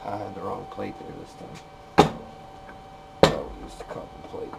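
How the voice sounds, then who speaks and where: A man's voice: on the low side, little pitch movement, moderate pace, vocal fry. An American man giving a casual, plain-spoken, hands-on walkthrough of a repair in a workshop. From California, USA. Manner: plain-spoken, matter-of-fact. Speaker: machinist demonstrating a repair. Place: machine shop, indoors. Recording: noisy amateur workshop recording.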